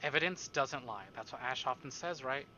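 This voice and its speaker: A man's voice: medium pitch, moderate pace, bright, forceful tone, highs cut off, a little hiss or hum. A man livestreaming a visual novel, reading it aloud dramatically and adding casual joking asides.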